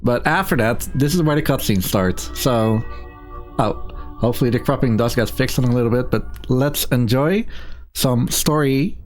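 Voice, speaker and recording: A man with a medium-pitched voice gives live speedrun commentary. He talks casually and informally to viewers. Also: normal speed, a little mellow, soft background music.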